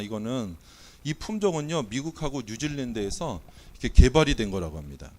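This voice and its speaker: A Korean man, a pastor, preaching a sermon with a weak voice from a cold, playfully quizzing the congregation and running a mock auction. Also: medium pitch. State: has a cold, voice not coming out well. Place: church sanctuary.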